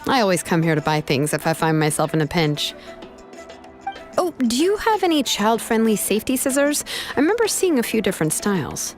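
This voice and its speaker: A woman's voice: medium pitch, big pitch swings, brisk, soft background music, natural voice. A woman voicing a helpful, persuasive young artisan in a video game, casual in manner.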